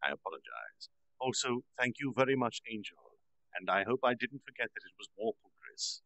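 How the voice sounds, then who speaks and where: A man reads a novel aloud for his stream in an earnest voice with quick apologetic asides. His tone has a gravelly grain and moves lively up and down. The sound is close and dry. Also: medium pitch, dry recording, lo-fi sound. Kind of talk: casual storytime reading.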